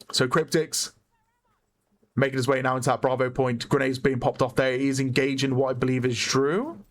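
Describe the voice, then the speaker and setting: A man with a hoarse voice giving chatty play-by-play commentary on a competitive online shooter match, often correcting himself. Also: medium pitch, fairly even intonation, normal speed, long pauses. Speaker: esports caster. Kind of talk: live game commentary.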